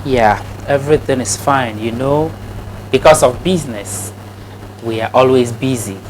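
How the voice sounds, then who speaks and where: A man's voice: medium pitch, unhurried, slight background noise, poor audio. A man with a Ghanaian accent answering casually as an interview guest and explaining things like a trainer. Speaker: interview guest, snail farming trainer and channel owner.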